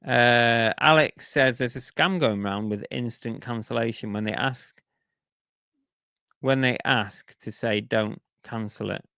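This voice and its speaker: A man's voice: on the low side, vocal fry, fairly bright tone, dry recording, studio quality. A man chatting casually on a live stream, reading out viewer comments and grumbling about sales while losing his voice. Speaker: reseller streamer reading chat.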